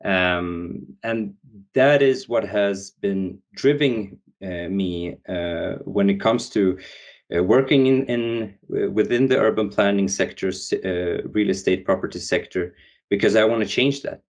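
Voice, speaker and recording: A man's voice: lowish voice, measured pace. A young man from Sweden, a podcast guest, speaking in English with many restarts and fillers. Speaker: guest, founder. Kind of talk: podcast interview.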